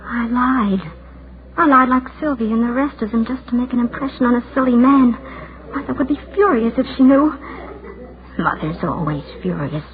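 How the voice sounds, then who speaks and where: A woman's voice: on the high side, expressive, moderate pace, natural voice. An actress in a 1940s radio drama playing a lonely, self-doubting woman's agitated inner voice. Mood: anxious, guilty. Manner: agitated self-questioning, arguing with herself. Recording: tinny band-limited vintage radio recording, loud music.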